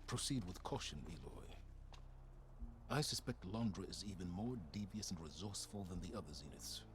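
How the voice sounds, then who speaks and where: An actor playing a grave, calculating older male scholar in a video game, speaking in a measured, formal way. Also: low voice, expressive, noisy background. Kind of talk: video game cutscene dialogue.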